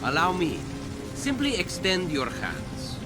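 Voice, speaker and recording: Self-assured big-brother hero, animated, loud music. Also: male voice, sits high, slow pace, full character voice. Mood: smugly confident. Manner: smooth-talking, self-assured, assertive. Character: confident, condescending young hero, older brother.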